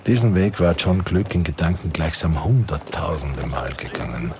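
A man's voice: deep voice, expressive, moderate pace, background noise. A man narrating a wartime radio drama in a grave, literary manner. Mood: grave, measured. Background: music.

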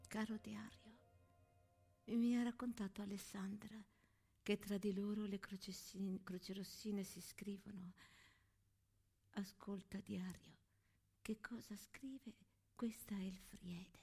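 A woman playing a tender, grieving young wartime woman who confides in her diary in a breathy, hushed voice. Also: mid-range voice, fairly even intonation. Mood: hushed, confiding. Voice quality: breathy, half-whispered. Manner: hushed, slow, intimate. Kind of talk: theatrical monologue set to music. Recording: stage monologue with music behind.

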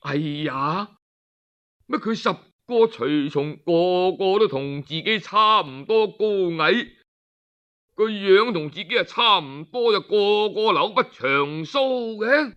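A man's voice: sits high, expressive, takes it slowly, dull audio. A man with a Cantonese accent voicing a dignified, humble visiting ruler in a historical story, formal and exclamatory. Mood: startled, uneasy.

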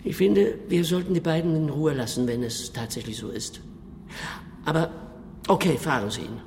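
An actor playing a well-connected older father in a radio play, self-assured, discreet, measured and knowing. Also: fairly high pitch, vocal fry, darkish tone. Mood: knowing, guarded. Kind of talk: radio drama dialogue. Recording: long echo, noisy cellar-like ambience.

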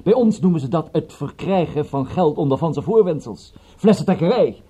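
A scornful police investigator in a radio drama. His voice is husky and very mellow, and he hectors with sternness and sarcasm, his pitch moving in wide swings. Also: lively intonation, normal speed. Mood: indignant, scolding. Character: indignant, officious male police investigator, scornful and threatening.

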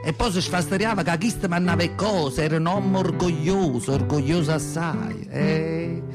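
Man playing an old Neapolitan mother, high-pitched, reminiscing. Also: moderate pace, close to an everyday voice. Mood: fond, animated. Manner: rambling, confiding reminiscence with repeated phrases. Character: elderly southern Italian mother, nostalgic, plainspoken storyteller. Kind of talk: radio theatre monologue in dialect. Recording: guitar music behind the voice. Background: plucked guitar music.

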